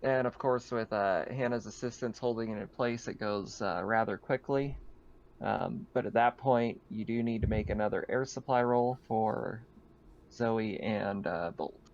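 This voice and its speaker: A man's voice: on the low side, a little slow, darkish tone. Lo-fi male game master, easygoing. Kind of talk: casual tabletop game narration.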